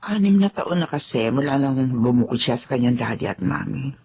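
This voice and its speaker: A woman's voice: rumbling low, animated, a little fast, dark tone, dull audio, slight background noise. An actress playing a devoted middle-aged widowed housemaid and mother in a Filipino radio drama, earnest and confiding. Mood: concerned, earnest.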